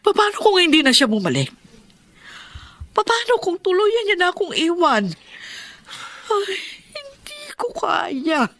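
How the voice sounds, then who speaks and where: A woman's voice: extremely high pitch, huge pitch swings, slow, long pauses, fairly bright tone, heavily acted. An actress playing a heartbroken middle-aged woman in a radio drama, speaking in an emotional, pleading way. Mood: distraught. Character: heartbroken woman Nene, former mistress. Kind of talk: Tagalog radio drama acting.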